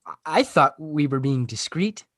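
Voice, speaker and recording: A man playing an embarrassed, defensive high school boy, halting and exasperated, in a comedy table read. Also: big pitch swings, fairly bright tone, dry recording, clean studio sound.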